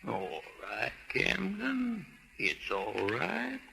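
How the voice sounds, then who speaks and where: An actor playing a gruff but tender older American country uncle, hesitant and rustic, in 1930s radio drama style. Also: male voice, mid-range voice, huge pitch swings, very slow, bright, forceful tone, full character voice. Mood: gentle, troubled. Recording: noisy old 1930s radio broadcast.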